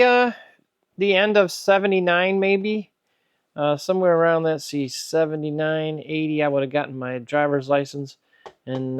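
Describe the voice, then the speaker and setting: A man's voice: medium pitch, clean tone, no room sound, muffled recording. A man in his sixties giving a casual, unscripted show-and-tell as a hobbyist, rambling and musing aloud. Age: 60s. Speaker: host, hobbyist radio restorer. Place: indoor electronics workbench.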